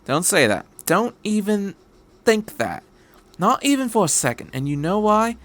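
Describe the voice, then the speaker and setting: A man acts out an eccentric time-travelling man in a fan fiction story. His voice sits fairly high and he speaks with earnest emphasis, reassuring and energetic, repeating words as he drives a point home. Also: a little slow, full character voice. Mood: impassioned, insistent.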